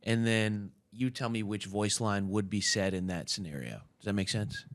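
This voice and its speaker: A man's voice: fairly low pitch, moderate pace. A man chatting casually as an interviewer and quiz host. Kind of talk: casual chat.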